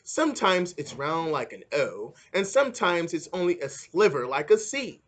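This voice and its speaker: A man's voice: a little high, sing-song, normal speed, fairly bright tone. A man voicing curious, eager young schoolchildren in a storybook, with simple exclaiming delivery. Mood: excited.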